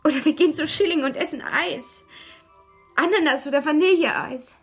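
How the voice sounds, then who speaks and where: An actress playing an anxious, tender young mother burdened by guilt in a German radio play, with a breathy voice. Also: high-pitched, normal speed, fairly bright tone, soft background music. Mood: wistful, pleading.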